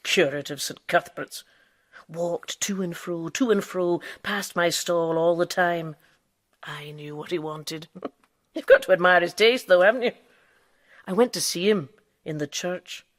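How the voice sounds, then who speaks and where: A woman's voice: fairly low pitch, measured pace, no room sound, studio quality, lightly acted. An actress in a radio drama playing an impudent, boastful female quack doctor who lives as a man. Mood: sly, gossiping. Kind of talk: radio drama acting with narration.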